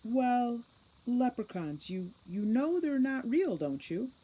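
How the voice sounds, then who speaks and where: A woman's voice: a little high, big pitch swings, takes it slowly, dark tone, breathy edge, highs cut off, pitched above the performer's own voice, heavily acted. A woman reading a storybook as a sceptical young female assistant, hesitant and questioning. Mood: hesitant, doubtful.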